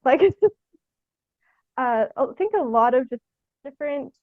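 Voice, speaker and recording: A young adult woman, chatting casually as an interview guest in a halting, tentative way, thinking aloud with lots of "like". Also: high voice, expressive, dry recording, phone-quality sound. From Canada. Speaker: guest theatre artist.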